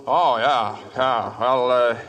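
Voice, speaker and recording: An actor with an American accent playing a terse, determined drifter cowboy in a radio western, with a hesitant drawl. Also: male voice, medium pitch, steady tone, slow, a bit bright, rough recording. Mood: wary, sheepish. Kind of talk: old-time radio western acting.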